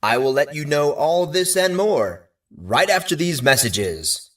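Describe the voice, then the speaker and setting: A man voicing a showy game show host in audio fiction, with a theatrical, drawn-out announcer delivery. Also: fairly high pitch, animated, fairly bright tone, far from the mic, voice pushed up. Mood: teasing, suspenseful.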